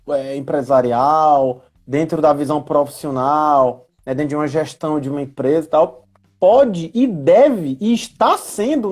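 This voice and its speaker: A man's voice: high-pitched, darkish tone. A man with a Northeastern Brazilian accent from Ceará, explaining things in a casual, conversational way and often checking in with tag questions. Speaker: educator, guest on a live chat.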